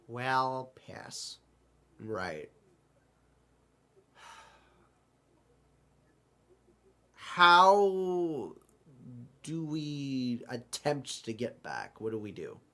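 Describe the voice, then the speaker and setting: A man playing a flustered, apologetic multiverse traveler stranded in a void, halting and repeating words in a loose improvised audio roleplay. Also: mid-range voice, sing-song, sharp tone, full character voice. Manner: halting, stammering, repeats words, thinks aloud. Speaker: roleplay performer.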